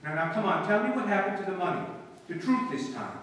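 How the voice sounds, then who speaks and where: A man playing an exasperated but soft-hearted father scolding his little daughter in old-time radio comedy, stern, flustered and stammering. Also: sits high, big pitch swings, fairly quick. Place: small auditorium stage, audience in dark. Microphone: far camera. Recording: echoey hall, distant camera, faint noise.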